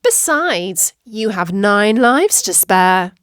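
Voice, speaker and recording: A woman's voice: high-pitched, sing-song, slow pace, a bit bright, no echo, studio quality, voice pushed up, full character voice. A woman voicing a teasing, sarcastic mother in a children's story, wry and scolding with an incredulous edge. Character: brisk, teasing, sarcastic mother of a young witch.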